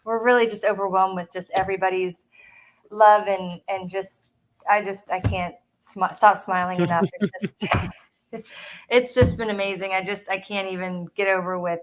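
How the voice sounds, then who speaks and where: A woman's voice: mid-range voice, steady tone, normal speed, rough recording. A woman co-hosting a casual reseller chat, bubbly and gushing, laughing often. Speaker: co-host of a reseller chat show.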